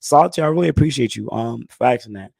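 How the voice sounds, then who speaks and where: Hoarse laid-back man in his thirties. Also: mid-range voice, animated, quick pace, darkish tone, no echo. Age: 30s. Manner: laid-back, conversational, frequent "you know what I'm saying". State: hoarse, recovering lost voice. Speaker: channel host answering live chat. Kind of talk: casual live stream Q&A.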